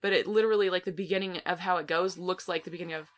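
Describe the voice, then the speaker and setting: A woman's voice: lowish voice, fairly even intonation, racing, faint music behind. A woman co-hosting a podcast, talking casually and ramblingly in an informal, teasing film review chat. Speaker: podcast co-host.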